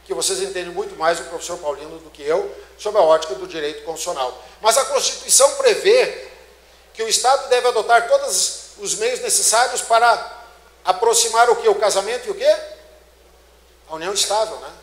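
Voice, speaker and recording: Man, Brazilian Portuguese accent, law lecture, higher voice, echoey auditorium. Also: a little fast. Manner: emphatic, rhetorical questions to the audience. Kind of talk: conference lecture. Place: large dark auditorium, on stage.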